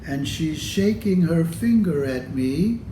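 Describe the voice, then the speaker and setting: A man reads a picture book aloud for children. His delivery is very slow and expressive, addressed straight to young listeners. The room is echoey, with clear noise behind the voice. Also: on the high side. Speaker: storybook reader. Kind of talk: children's read-aloud storytelling.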